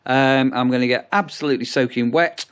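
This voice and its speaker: A man's voice: mid-range voice, brisk, a bit bright, clean tone. A man with an English accent talking casually in a rambling way, as if narrating his day for a vlog. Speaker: vlogger. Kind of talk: casual vlog chat to camera.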